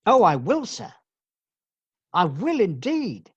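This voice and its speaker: A man's voice: high voice, wildly swooping pitch, slow, slightly rough, lo-fi sound, full character voice. A man playing a meek, kindly male office clerk in a radio play, timid, hesitant and deferential. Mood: meek, eager.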